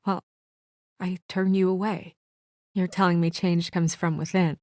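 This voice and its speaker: A woman playing an earnest, weary and conscientious young administrator in a video game, speaking in a measured, serious, sometimes hesitant way. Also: lowish voice, level tone, a little mellow, no room sound, studio quality, close to an everyday voice. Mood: troubled, frustrated. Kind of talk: naturalistic video game voice acting.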